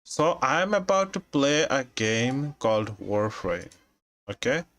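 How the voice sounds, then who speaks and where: A man from Bangladesh, chatting casually on his live stream in a halting way, with many restarts. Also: medium pitch, very animated, a bit bright. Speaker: livestreamer.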